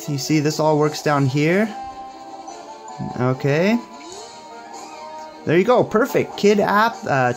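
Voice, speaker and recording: A young man giving a casual hands-on tech demo in an upbeat, approving manner. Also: high voice. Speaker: tech reviewer.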